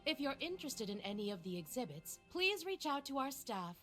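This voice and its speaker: Game role: courteous floral expo hostess, high voice, formal welcoming delivery, music underneath. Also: female voice, big pitch swings, fairly quick, fairly bright tone. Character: polished, courteous female floral expo attendant. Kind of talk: video game voice acting.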